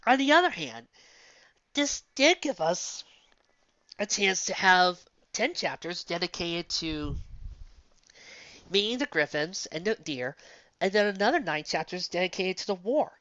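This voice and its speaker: A woman giving a casual, off-the-cuff story review in a halting, think-aloud manner. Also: on the low side, big pitch swings, a bit bright, no room sound, lo-fi sound. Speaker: fan fiction reader and reviewer.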